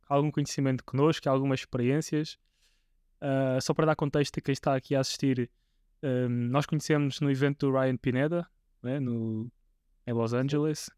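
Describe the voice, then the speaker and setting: A man's voice: mid-range voice, a little mellow, clear, resonant tone, no room sound, studio quality. Portuguese man hosting a podcast. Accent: European Portuguese. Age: 20s. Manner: hesitant, many restarts and fillers. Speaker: podcast host. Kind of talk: casual interview.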